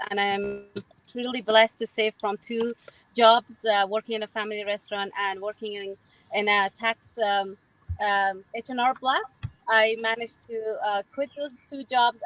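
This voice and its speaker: A woman's voice: medium pitch, fairly even intonation, normal speed, fairly bright tone, poor audio. A woman hosting an online panel from a moving car. She speaks in a cheerful, casual way. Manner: cheerful, laughs easily. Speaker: panel host. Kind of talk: casual webinar panel talk. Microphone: video call.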